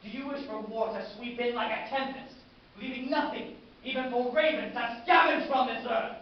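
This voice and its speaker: A man playing a fanatical, war-loving military commander in a grandiose, savoring theatrical monologue, addressing his battalion with deliberate oratory. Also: high voice, expressive. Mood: impassioned, rousing. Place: large echoing room, festival stage performance. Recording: echoey hall from far off, muffled, hissy.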